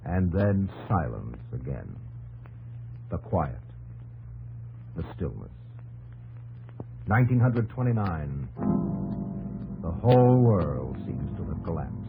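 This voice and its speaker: A man's voice: low voice, sing-song, slow pace, creaky, long pauses, narrow, tinny audio. A man narrating a 1950s radio documentary in a grave, theatrical, declamatory style. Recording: old radio broadcast under loud music.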